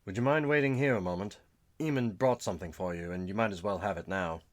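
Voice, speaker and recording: Loyal nobleman, read by a man, brisk, hurried, worried, quick talker. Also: sits low, natural voice.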